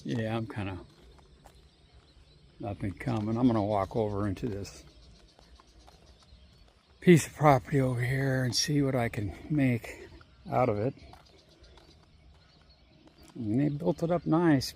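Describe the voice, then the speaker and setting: A man vlogging casually while walking outdoors, rambling and swearing freely, with long pauses. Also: medium pitch, creaky, a little mellow, slight background noise. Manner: rambling, profane, drawn-out pauses. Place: outdoors on a walk. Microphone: handheld phone.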